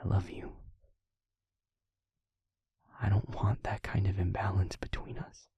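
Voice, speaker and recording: A man playing a gentle, devoted vampire boyfriend in an intimate audio roleplay, speaking tenderly and earnestly in a husky half-whisper. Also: low-pitched, level tone, dry recording, exaggerated voice. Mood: earnest, loving. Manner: slow, halting, tender, earnest delivery. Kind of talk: intimate audio roleplay, ASMR-like scripted acting.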